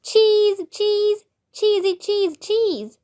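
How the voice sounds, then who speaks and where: Lady as a show-off little girl in a kids story, high pitched, vain and boasty. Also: drawn-out words, mellow, exaggerated voice. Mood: singing out loudly. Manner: boastful, showy. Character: vain, showy little girl, best friend.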